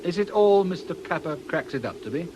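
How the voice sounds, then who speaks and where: An actor playing a shrewd British police inspector in a radio drama, with a dry, colloquial, knowing manner. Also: male voice, lively intonation, a little fast, close to an everyday voice. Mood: dry, explanatory. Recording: noisy outdoor ambience, vehicle rumble.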